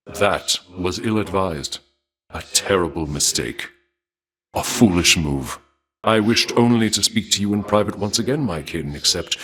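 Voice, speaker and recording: An actor playing a courtly god of war in a video game, speaking formally and with measured, suave menace and a taste for bloodshed. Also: male voice, deep voice, a bit bright, heavily acted. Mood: cold displeasure. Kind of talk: theatrical video game character dialogue.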